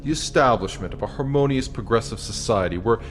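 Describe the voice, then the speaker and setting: A man voicing a guarded, centuries-old rebel leader who talks in a measured, dry, sardonic way. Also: mid-range voice, natural voice. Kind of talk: audio drama acting. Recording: music score underneath. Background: dramatic music.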